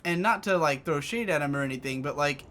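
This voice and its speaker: Rambling young man, slightly high voice. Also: fast talker, bright, forceful tone, a little hiss or hum. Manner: rambling, swears casually, many restarts. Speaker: co-host. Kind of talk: casual chat.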